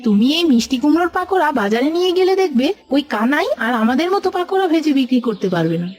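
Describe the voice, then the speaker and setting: Woman as an eager, high-voiced cartoon village wife. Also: very animated, rapid-fire, darkish tone. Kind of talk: dramatized cartoon dialogue. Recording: music bed behind the voice.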